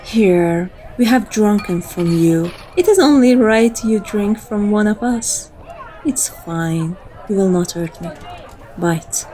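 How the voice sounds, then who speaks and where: A woman's voice: mid-range voice, warm tone, some chatter behind, lightly acted. A woman playing a seductive, devoted and dominant female vampire in an intimate, poetic ASMR roleplay. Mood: coaxing, playful. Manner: slow, poetic, intimate delivery.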